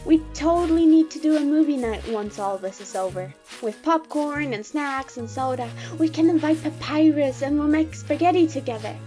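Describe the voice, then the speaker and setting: A woman playing an excitable, friendly young monster child in an audio drama, with an enthusiastic, slangy way of talking. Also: sits high, normal speed, hardly any pauses, faint music behind, close to an everyday voice. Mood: excited.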